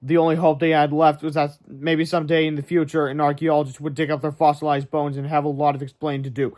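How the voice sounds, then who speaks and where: A man's voice: fairly high pitch, flat delivery, fast, a little mellow. A man reading a children's chapter book aloud as storybook narrator, with asides, stumbling over words now and then. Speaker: storybook reader. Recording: very noisy amateur recording.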